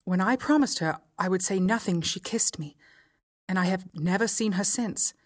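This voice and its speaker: A woman's voice: medium pitch, normal speed, natural voice. A woman voicing a timid but shrewd young lady earnestly recounting what she saw. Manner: earnest, confiding.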